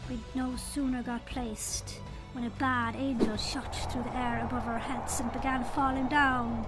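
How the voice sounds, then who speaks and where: A woman's voice: high voice, rough recording. A woman playing a droll little leprechaun king, telling his tale in folksy phrasing in an audio drama. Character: leprechaun king, small old male storyteller, droll and wry.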